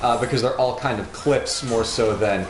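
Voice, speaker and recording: A young man hosts a gaming stream in a casual, halting way. His mid-pitched voice sits in an echoey room. There is faint noise behind it. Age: young adult. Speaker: host of a gaming livestream. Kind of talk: casual chat while playing a game. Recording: roomy echo, faint background noise.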